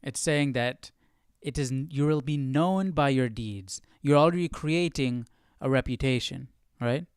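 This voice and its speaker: A man's voice: medium pitch, unhurried, smooth, no room sound, clean studio sound. A man hosting a live study session, explaining passages casually and often checking for agreement with short tag questions. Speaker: Bible study livestream host. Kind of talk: casual live commentary on verses.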